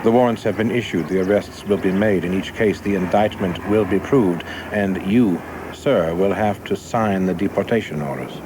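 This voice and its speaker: A man's voice: low-pitched, normal speed, few pauses, noisy background, close to an everyday voice. An actor playing an ambitious, rigid young male government official in a radio play, speaking formally, precisely and earnestly. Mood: coldly determined.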